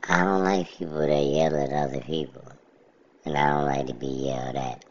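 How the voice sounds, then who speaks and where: Very deep, gravelly, slow-talking man. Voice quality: monotone, level delivery. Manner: unhurried, reflective, plainspoken. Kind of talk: casual personal monologue. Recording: slightly dull lo-fi recording.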